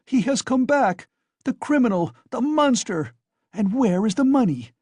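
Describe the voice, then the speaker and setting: A man's voice: very high voice, animated, unhurried, darkish tone, slightly rough, no echo, pitched above the performer's own voice, exaggerated voice. A man voicing a desperate, frantic poor mother who screams accusations. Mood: frantic screaming.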